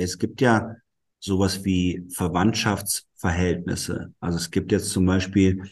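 Bilingual man, very low voice, chatty interview guest, explains at length with lots of ums. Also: a little mellow. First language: bilingual German and Italian. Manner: thoughtful, explains at length with many fillers. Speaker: musician and guest. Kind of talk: casual interview talk.

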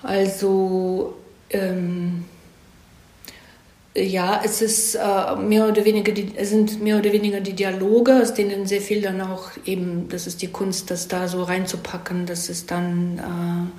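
A woman's voice: medium pitch, flat delivery, a little slow, mellow. A woman explaining things informally in an interview, often checking with little tag questions as she goes. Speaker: screenwriter being interviewed. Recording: roomy, echoing room sound.

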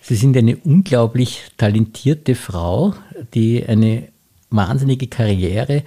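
Husky, gravelly male interviewer. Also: medium pitch, expressive, takes it slowly, warm tone. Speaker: podcast interviewer. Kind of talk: introduction and conversational interview.

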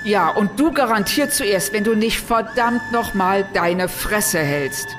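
A hard, foul-mouthed woman on the run acted out in a science-fiction audio drama. She is threatening and sneering, with a mid-range voice and music clearly behind her. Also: medium pitch, expressive, takes it slowly. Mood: angry. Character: aggressive, foul-mouthed armed escapee. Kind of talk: sci-fi audio drama acting.

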